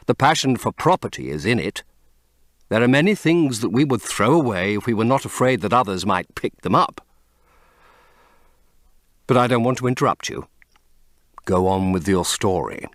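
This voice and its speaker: Audiobook role, male reader: witty older upper-class English gentleman, urbane and cynical, very animated intonation. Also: fairly low pitch, moderate pace, pauses often, dry recording, clean studio sound, pitched below the performer's own voice. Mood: musing, amused. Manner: urbane, epigrammatic, drawling. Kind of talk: audiobook character reading.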